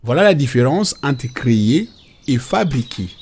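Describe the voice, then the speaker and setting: Husky man playing a patient cartoon father. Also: medium pitch, sing-song, unhurried, darkish tone, heavily acted. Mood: patient, instructive. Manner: didactic, explains definitions step by step. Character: patient, instructive father explaining nature and God to children.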